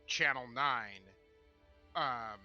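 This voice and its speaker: A man co-hosting a casual chat in a halting way, often repeating words as he starts a thought. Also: medium pitch, sharp tone, muffled recording, faint music behind. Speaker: podcast co-host.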